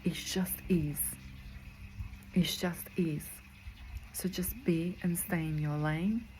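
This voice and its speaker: A woman's voice: lowish voice, fairly even intonation, slow pace, warm tone, poor audio. A woman in her forties from Croatia, with a Croatian accent, giving an earnest motivational talk as a spiritual coach, repeating phrases for emphasis. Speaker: spiritual coach and medical intuitive. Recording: noticeable background noise.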